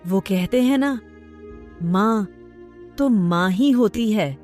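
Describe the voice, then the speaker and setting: A woman's voice: medium pitch, lively intonation, takes it slowly, a little mellow, soft background music. A woman reading scripted narration for a children's story.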